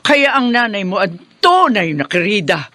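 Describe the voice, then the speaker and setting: A woman's voice: medium pitch, big pitch swings, moderate pace, sharp tone. A woman acting a vengeful, taunting mistress who claims to be the lawful wife, in a Filipino radio drama. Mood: gloating, vindictive. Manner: taunting, menacing, theatrical.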